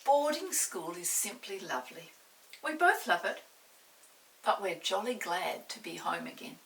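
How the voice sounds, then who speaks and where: A woman's voice: mid-range voice, sing-song, measured pace, a bit bright. A woman voicing a keen, polite schoolboy in a children's storybook, earnest and emphatic. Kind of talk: children's storybook character reading. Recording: distant mic with faint hiss.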